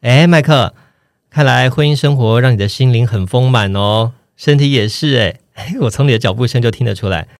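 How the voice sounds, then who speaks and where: A man's voice: mid-range voice, normal speed, dry recording, close to an everyday voice. Guy putting on a dubbed foreign movie buddy, theatrical, dry studio sound. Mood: chatty, teasing. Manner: theatrical, bookish dubbing-style delivery. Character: two male friends in stiffly translated foreign drama.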